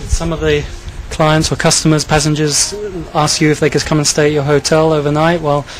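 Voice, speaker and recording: A male interviewer with a fairly high voice and a very flat intonation puts questions to guests. His phrasing is halting and unscripted, built up piece by piece. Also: rough recording. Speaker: radio and TV news interviewer.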